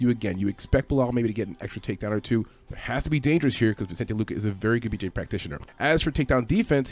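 A man's voice: mid-range voice, a little mellow. A man giving a conversational sports preview as a fight analyst, matter-of-fact with informal asides. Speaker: MMA fight analyst and host.

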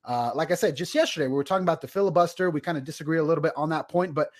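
A man's voice: on the high side, racing. A man hosting a podcast interview, talking in a casual, chatty way with many "kind of" and "you know" hedges. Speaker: podcast host.

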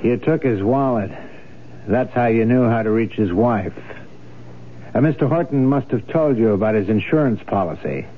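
A man's voice: mid-range voice, animated, moderate pace, a little hiss or hum, lightly acted. An actor playing a confident, knowing police lieutenant, dry and assured as he questions a suspect in 1970s radio drama style. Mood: matter-of-fact. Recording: tinny, band-limited old radio broadcast.

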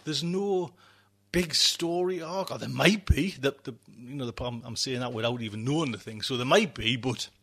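A man's voice: mid-range voice, normal speed, fairly bright tone, slightly rough. A man hosting a podcast in a casual, rambling chat, repeating himself and trailing off mid-thought. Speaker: podcast host.

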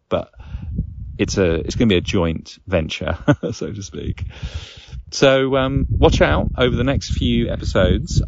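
A man hosting a solo podcast in a casual, reflective way, thinking aloud in halting phrases. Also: medium pitch, vocal fry, darkish tone, no echo, muffled recording. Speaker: podcast host and game master.

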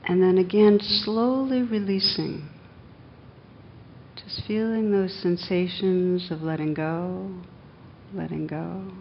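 A woman meditation teacher leading a guided meditation in a gentle, unhurried manner with long pauses, on a dull recording. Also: fairly even intonation. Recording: dull, muffled sound with faint rumbling noise.

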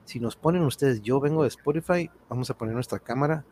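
A man's voice: mid-range voice, brisk, a little mellow. A man with a northern Mexican accent hosting a casual livestream, chatting and dropping in English phrases. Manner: sprinkles English phrases into his talk. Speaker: host of an online livestream show. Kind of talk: casual livestream sign-off chat. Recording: clear background noise.

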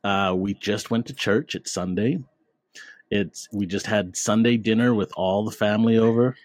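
A man's voice: on the low side, fairly even intonation, moderate pace, dry recording. A man hosting a podcast in casual, jokey chat, laughing often and tripping over his words. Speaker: podcast host.

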